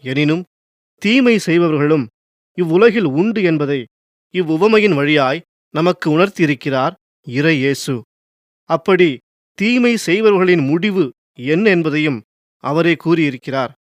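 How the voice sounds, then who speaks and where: A man narrating scripted moral reflection in a measured, formal manner. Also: fairly high pitch, expressive, no room sound.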